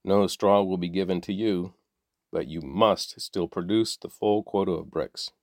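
Guy reading a cold bossy old-world king, deep voice, dry sound. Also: steady tone, unhurried, a little mellow, no echo. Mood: shouting. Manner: curt, dismissive orders. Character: stern, scornful ancient king, male ruler.

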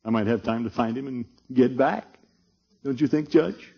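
An actor playing a flustered, blustery middle-aged uncle in a 1950s radio comedy. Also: male voice, medium pitch, normal speed, gravelly, husky. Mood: anxious, subdued. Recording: dull, muffled old radio recording.

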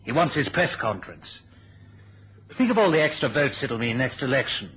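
Fussy British official with lively, swooping intonation. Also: male voice, mid-range voice, moderate pace, husky. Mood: worried, exasperated. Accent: educated British. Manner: proper, fussy, cautious. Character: polite, anxious, deferential British male intelligence official. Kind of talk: 1970s radio drama acting. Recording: dull, noisy old radio recording.

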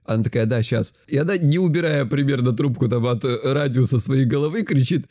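A man in his mid-thirties with a soft, mellow timbre, recorded very dry. He is from southern Russia and co-hosts a podcast. He tells anecdotes casually, with playful teasing and colloquial phrasing. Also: medium pitch, quick pace, no room sound. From Kuban, southern Russia. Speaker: podcast co-host, psychologist. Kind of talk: casual chat and anecdote telling.

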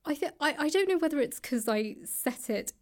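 A woman answering as a guest in a podcast interview, speaking conversationally in a thoughtful, hesitant way with frequent pauses. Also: high-pitched, lively intonation. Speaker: guest author and actor. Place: library room.

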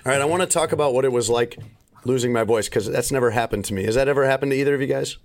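Chatty male podcast host, mid pitch. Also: racing, faint music behind. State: voice still not fully recovered. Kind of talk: casual podcast chat.

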